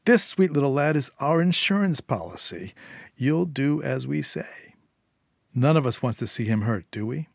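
A man's voice: mid-range voice, expressive, normal speed, vocal fry, dry recording, studio quality, pitched above the performer's own voice. A man voicing a menacing, volatile criminal woman in an audiobook, with a taunting, sneering manner. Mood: smug menace.

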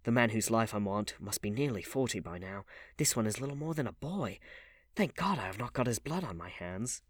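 A rough sailor bent on revenge, performed by a man reading a novel. He is blunt and growling, with a muttering edge and very animated pitch, recorded dry and close. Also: a little fast, dry recording. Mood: grim, blunt. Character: rough, vengeful working sailor, menacing then shaken.

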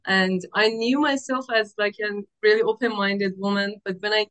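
A woman's voice: mid-range voice, fairly even intonation, normal speed, a bit bright. A woman from Iran with an Iranian accent, chatting informally and effusively as a guest in an interview. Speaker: podcast guest, activist artist. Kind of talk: casual conversational interview.

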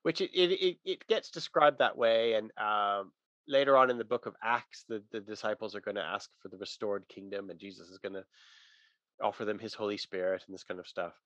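A man's voice: on the low side, a little fast, a bit bright, no echo, lo-fi sound. A man talking through religious ideas in a casual, conversational but emphatic teaching manner.

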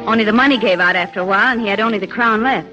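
An actress playing a brisk, eager American newspaper reporter in a 1940s radio crime drama. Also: female voice, medium pitch, lightly acted. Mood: explaining. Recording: muffled 1940s radio recording with music.

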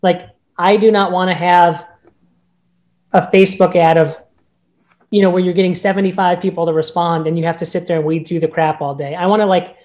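A man with a notably high-pitched voice, a real estate agent speaking as a podcast guest in an upbeat, emphatic way. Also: flat delivery, brisk, darkish tone. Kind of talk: casual podcast interview.

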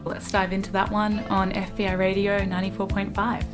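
A woman's voice: medium pitch, monotone, fairly quick, over music. A woman hosting a conversational radio interview. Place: radio studio.

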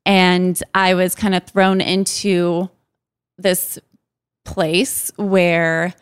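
A woman being interviewed about her own life, speaking candidly and hesitantly, with frequent pauses and ums. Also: on the low side, flat delivery, clear, resonant tone, dry recording. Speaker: interview guest.

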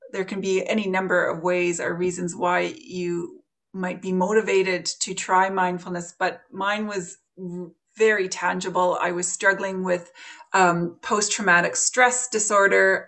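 A middle-aged woman with a Canadian accent, a podcast guest telling her own story in a soft, thoughtful way with many pauses. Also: fairly low pitch. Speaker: podcast guest, meditation teacher. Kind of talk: reflective conversational storytelling.

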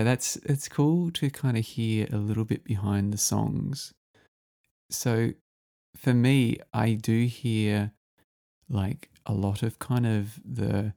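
A low, gravelly man hosting a relaxed music interview. His voice is mellow and dark, and he rambles enthusiastically, full of ums and restarts. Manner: rambling, enthusiastic, many fillers. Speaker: podcast host.

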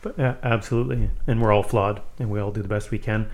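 A man's voice: on the low side, steady tone, fast talker. A man interviewing a guest, asking his questions with hesitant restarts and short affirmations.

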